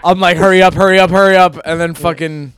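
A man co-hosting a comedy podcast who jumps in with quick, animated jokes. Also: high-pitched, over a noisy crowd. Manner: animated, quick-witted joker. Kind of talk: casual comedy podcast chat.

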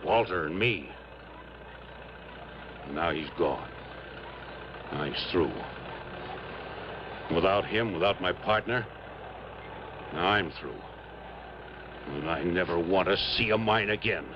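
A deep-voiced miner, mourns his partner in folksy, repetitive, emotional speech. It is vintage radio drama, dull in sound and clearly noisy behind him. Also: slow, long pauses. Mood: grieving, resigned. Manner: emotional, folksy, repeats himself. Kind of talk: 1950s-60s radio drama acting.

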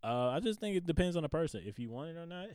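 A man's voice: fairly high pitch, big pitch swings, racing, darkish tone, no room sound, studio quality. A young man co-hosting a podcast in casual chat, laid-back and slangy, laughing often. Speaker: podcast co-host.

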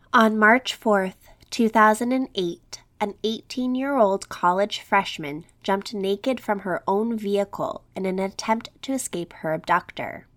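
A woman hosting a true crime podcast, reading a scripted narration in a slightly scratchy voice as she recovers from an illness. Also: mid-range voice. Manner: reads script with quotes and statistics.

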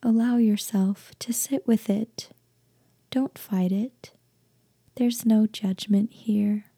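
Breathy, hushed woman guiding a slow meditation. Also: mid-range voice, fairly even intonation, no echo. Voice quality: soft, breathy, half-whispered. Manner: gentle, hushed, deliberate with long pauses. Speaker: meditation guide. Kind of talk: guided meditation, slow soothing instruction.

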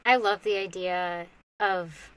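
A woman's voice: lowish voice, fairly even intonation, slow pace, smooth, poor audio. A woman on a podcast musing aloud in a searching, hesitant way, laughing now and then. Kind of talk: reflective casual conversation.

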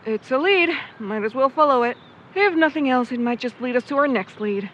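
An easygoing, cheeky young companion in an audio drama. Her fairly high voice is casual and offhand. The recording is slightly dull, with faint vehicle noise behind. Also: lively intonation, a little fast, a bit bright. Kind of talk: naturalistic audio drama dialogue.

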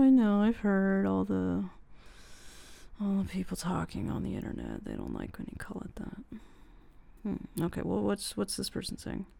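A woman playing an affectionate, curious, easily horrified tomboy girlfriend in a casual, softly spoken ASMR roleplay. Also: medium pitch, creaky. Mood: amused, curious. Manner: soft, hushed, unhurried, casual with hesitant restarts. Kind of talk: girlfriend roleplay ASMR audio, casual improvised-sounding chat.

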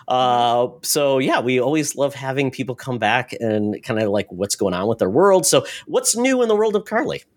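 A man's voice: mid-range voice, very animated, moderate pace, hardly any pauses. A man speaking as a podcast host in a casual, chatty style. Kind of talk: casual podcast chat with sponsor read.

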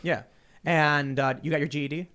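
A man from Chicago in his thirties hosting a podcast, telling stories quickly with lots of restarts. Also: on the high side. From Chicago, USA. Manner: fast, animated storytelling with many restarts. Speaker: podcast host. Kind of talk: casual conversation.

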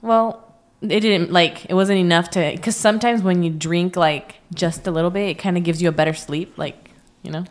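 A young woman whose voice sits a little low for a woman. She chats casually as a podcast co-host, teasing and asking playful questions. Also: fairly even intonation, normal speed. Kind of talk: casual chat.

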